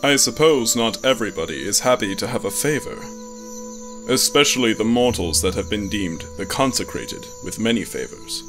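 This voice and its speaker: A man's voice: on the low side, animated, normal speed, over music. Man acting a reflective, matter-of-fact god. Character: pragmatic, reflective god watching his descendants. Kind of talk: fantasy audio drama acting.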